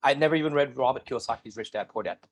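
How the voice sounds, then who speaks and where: Fast-talking man sharing his story. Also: mid-range voice. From Singapore. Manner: energetic, addresses viewers directly. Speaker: guest. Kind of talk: motivational interview storytelling.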